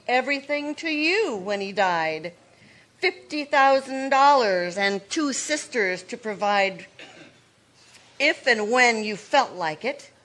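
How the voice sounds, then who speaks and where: An actress playing a cold, scheming older sister in a live old-time radio drama, speaking with cutting sarcasm. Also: female voice, a little high, pushed and bright. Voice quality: cutting, sarcastic, slow and deliberate.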